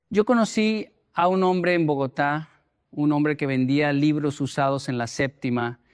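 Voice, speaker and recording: A man speaking as a motivational speaker, deliberate and emphatic, repeating phrases for effect as he explains and tells stories. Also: on the high side, clean tone. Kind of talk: motivational talk with storytelling.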